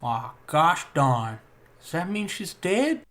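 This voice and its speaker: A woman's voice: sits low, very animated, takes it slowly, fairly bright tone, soft background music, heavily acted. A woman voicing a chirpy, folksy country pony in a parody cartoon, with a Southern US accent. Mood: folksy, dismayed.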